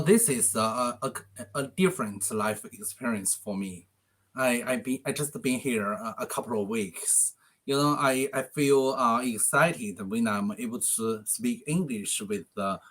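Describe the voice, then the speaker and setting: A man whose first language is Chinese speaks halting English over a video call, often pausing to search for words. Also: mid-range voice, fairly bright tone. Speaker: English learner. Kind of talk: casual video-call conversation.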